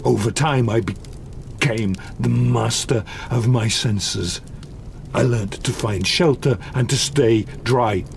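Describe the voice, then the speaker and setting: A man playing a lonely, wounded, eloquent monster in an audio drama, speaking slowly and solemnly. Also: mid-range voice, vocal fry, slight background noise. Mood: haunted, sorrowful. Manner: slow, deliberate, solemn storytelling.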